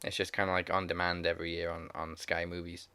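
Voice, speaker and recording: A man co-hosting a casual podcast, chatty and quick to laugh, retelling film scenes with put-on voices. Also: deep voice, monotone, sharp tone, smooth, no room sound. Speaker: podcast co-host.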